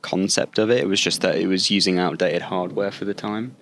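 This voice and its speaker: A man's voice: deep voice, fairly even intonation, brisk, fairly bright tone, slight background noise. A young man from the UK co-hosting a podcast, telling rambling stories in casual chat, with plenty of fillers. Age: young adult. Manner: laid-back, rambling storyteller with many fillers. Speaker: podcast co-host.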